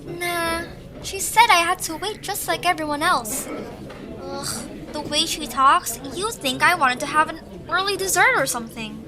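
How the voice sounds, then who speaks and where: Woman as very high-pitched cartoon creature kid. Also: unhurried, crowd noise. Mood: curious, grumbling. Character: curious, grumbling cartoon creature child.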